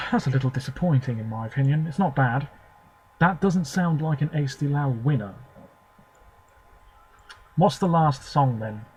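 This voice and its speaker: A young man talking to camera from home about songs he has just heard, his voice sitting at an ordinary middle pitch. He gives short, hesitant verdicts between clips, with a faint noise under the recording. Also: animated, moderate pace, pauses often, a little mellow, poor audio. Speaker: video host reviewing contest songs. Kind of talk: casual off-the-cuff commentary.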